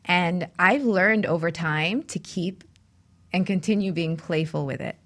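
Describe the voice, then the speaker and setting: Middle-aged woman, lowish voice, conversational. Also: unhurried, fairly bright tone. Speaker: talk show co-host. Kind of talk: casual conversational discussion.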